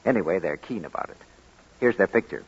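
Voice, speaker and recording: A man's voice: sits low, expressive, fairly quick, breathy edge. A man announcing and reading a scripted commercial as a 1940s radio announcer. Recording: muffled 1940s radio broadcast.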